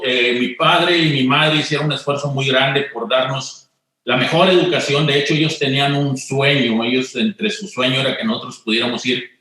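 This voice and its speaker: A man's voice: medium pitch, sharp tone. A middle-aged man with a Monterrey accent, a guest telling chatty anecdotes about his life in an interview. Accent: northern Mexican (Monterrey). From Monterrey, Mexico. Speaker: guest, business executive. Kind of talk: conversational interview. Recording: very echoey room.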